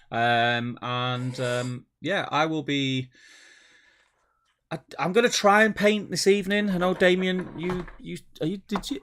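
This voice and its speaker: A man's voice: medium pitch, fairly bright tone. A man co-hosting a casual live stream, chatty and welcoming, with a stuffy head cold. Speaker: co-host.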